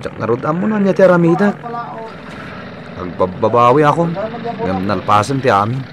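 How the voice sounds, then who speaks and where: A man's voice: medium pitch, sing-song, moderate pace, crowd noise, lightly acted. A man playing a weary, torn husband and doting father in a radio drama, speaking in a subdued, troubled way. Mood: regretful. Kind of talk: Ilocano radio drama acting.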